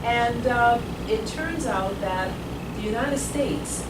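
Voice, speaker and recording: A woman's voice: on the high side, takes it slowly. A grandmother in her sixties telling a rambling personal story at a community meeting, growing emphatic as she goes. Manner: rambling, grows emphatic and forceful. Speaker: meeting attendee. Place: meeting room. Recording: echoey room, far from the mic, loud noise.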